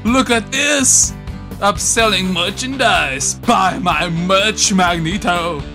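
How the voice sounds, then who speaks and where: A man improvising a comedy dub over game cutscenes in a goofy, exaggerated, shouty way. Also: high-pitched, takes it slowly. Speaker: comedic dubber. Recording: loud music behind.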